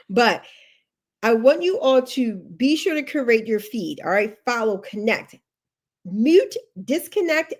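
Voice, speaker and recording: A woman's voice: fairly high pitch, a little mellow. A woman career coach on a livestream, sniffly from allergies, giving advice in an emphatic way and repeating her key points. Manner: emphatic, repeats key instructions, chatty asides.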